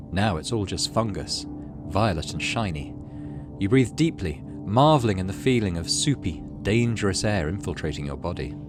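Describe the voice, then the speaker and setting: A low-voiced man hosts a video game playthrough. His intonation is lively as he moves between casual commentary and reading story text aloud, with music clearly playing behind him. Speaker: gaming channel host.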